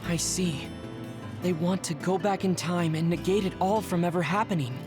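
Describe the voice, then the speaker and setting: A woman's voice: lowish voice, normal speed, music in the background, close to an everyday voice. Actress as formal, analytical woman questioner. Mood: probing. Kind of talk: English video game dub acting.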